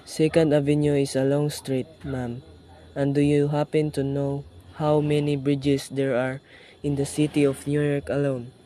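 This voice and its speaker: A man playing a weary, dismissive police desk sergeant in a radio play, talking down to the caller in a flat, patronizing way. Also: medium pitch, lightly acted.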